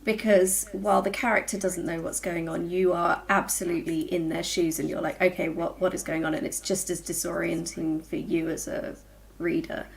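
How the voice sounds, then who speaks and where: A woman's voice: lowish voice, level tone, moderate pace, vocal fry. A woman, an author, chatting informally on a livestream, acting out little quoted asides as she talks. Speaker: author, fellow panelist. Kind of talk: casual livestream book chat.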